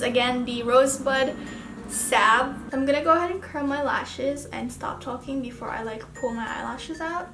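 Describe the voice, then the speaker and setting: A woman's voice: high-pitched, pushed and bright, roomy sound. A young woman from the Philippines with a Filipino accent, chatting casually through a makeup vlog, full of filler words. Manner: rambling, casual, lots of "like" fillers. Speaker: beauty vlogger.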